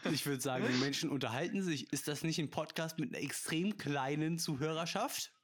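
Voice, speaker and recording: A man's voice: fairly high pitch, a little fast. A man hosting a loose, jokey podcast chat. He rambles through his lines and keeps breaking into laughter at his own gags. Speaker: podcast host.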